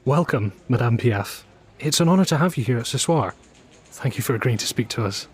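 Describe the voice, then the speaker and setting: An obliging male reporter with a medium-pitched voice. He is deferential and eager, asking questions politely in radio-play style. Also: expressive, fairly quick, husky. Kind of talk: audio drama acting.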